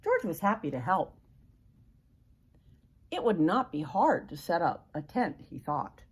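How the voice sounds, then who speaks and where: Older lady reading a kids book, kinda low husky voice, real expressive. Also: unhurried. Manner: expressive, asks the young listener questions in asides. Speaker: grandmother reading aloud to children. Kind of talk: read-aloud of a children's picture book.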